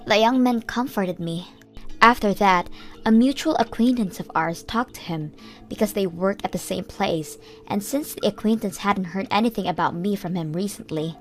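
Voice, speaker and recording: Young woman, first-person manga narration, earnest, steady, faint music behind. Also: mid-range voice. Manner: measured storytelling, plain and earnest.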